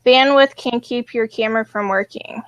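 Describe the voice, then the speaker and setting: A woman's voice: a little high, moderate pace, a bit bright, dry recording, lo-fi sound. A woman hosting a casual live video chat, talking loosely with many ums and frequent laughs. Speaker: host.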